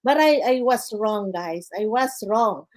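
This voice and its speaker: Older filipino woman guest, slightly high voice, halting delivery with many fillers, chatty. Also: lively intonation. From Philippines. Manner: halting, many "uh" fillers, says "guys" and "okay" often. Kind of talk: casual interview storytelling.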